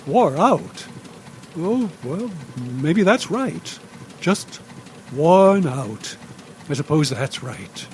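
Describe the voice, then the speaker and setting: An actor playing a mild, hesitant old newspaper columnist, flustered and deferential, in an old-time radio drama. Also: male voice, a little high, very animated, extremely slow, exaggerated voice. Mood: mild, hurried. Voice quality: hesitant, stammering when flustered. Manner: genial, meandering, absent-minded. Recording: live stage recording with background noise.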